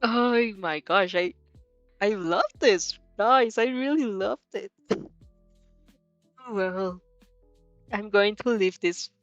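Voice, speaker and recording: A woman chatting casually as a host, reacting to a story in a giggly, excitable, rambling way. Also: mid-range voice, slow pace, long pauses, dry recording, lo-fi sound, soft background music. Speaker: host reading fan fiction aloud and reacting. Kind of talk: casual reaction stream chat.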